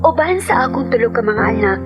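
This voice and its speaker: A woman's voice: a little high, lively intonation, brisk. A woman giving scripted dramatic first-person narration as a letter sender in a radio drama. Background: music.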